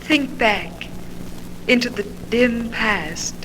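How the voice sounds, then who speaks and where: Slow, bright-voiced ghostly seductress. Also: female voice, on the high side, slight background noise, poor audio. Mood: hypnotic, insistent. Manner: slow, deliberate, hypnotic phrasing. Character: seductive female ghost of a beautiful woman. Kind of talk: old-time radio drama acting.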